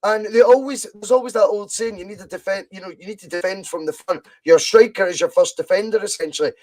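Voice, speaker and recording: High-voiced Scottish man, passionate football talk. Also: fast, a little mellow. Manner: passionate, emphatic, repeats for stress. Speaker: podcast host. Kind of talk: casual football chat.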